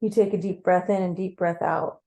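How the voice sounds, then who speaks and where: A woman narrating a guided meditation as a story in the second person, conversational and playful, with gentle pauses. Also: on the low side, fairly even intonation. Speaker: storyteller leading a guided visualization.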